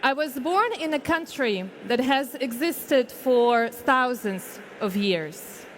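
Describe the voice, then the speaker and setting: A Ukrainian woman in her thirties, whose first language is Ukrainian, giving a slow, deliberate keynote speech on a conference stage with pauses between short phrases. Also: high voice, animated, slight background noise. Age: 30s. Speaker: foundation founder giving a keynote.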